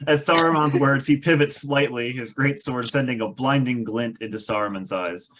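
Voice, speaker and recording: A man's voice: medium pitch, moderate pace, fairly bright tone. A man reading stage directions aloud as narrator of a scripted table read.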